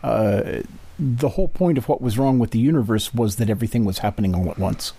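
A man co-hosting a casual podcast discussion, talking haltingly as he searches for his words. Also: fairly low pitch, gravelly, dark tone, breathy edge, dry recording. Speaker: podcast co-host, interviewer.

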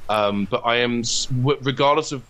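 A man speaking casually as an interview guest, earnest and halting as he repeats his phrases. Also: medium pitch, fairly bright tone. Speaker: guest, comedian and writer.

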